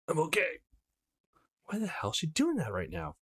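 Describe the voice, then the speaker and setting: A man's voice: a little high, huge pitch swings, normal speed, fairly bright tone, husky, voice pushed up, full character voice. A man playing an earnest, easily embarrassed young man in a visual novel, with self-conscious inner monologue. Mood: flustered. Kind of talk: visual novel character reading.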